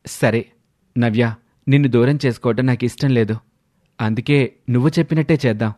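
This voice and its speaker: A man's voice: mid-range voice, brisk, darkish tone, no echo, studio quality, close to an everyday voice. A man playing an earnest young college boy in love in an audio story. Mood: sincere, loving. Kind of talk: scripted audio story dialogue.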